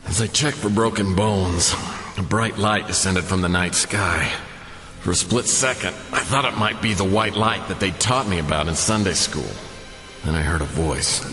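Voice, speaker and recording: An American man playing a down-on-his-luck private detective in a noir radio drama, narrating with deadpan, self-mocking dryness. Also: low-pitched, moderate pace, big-room sound. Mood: wry, brooding. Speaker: lead character and narrator. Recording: studio radio drama over music. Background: prominent music.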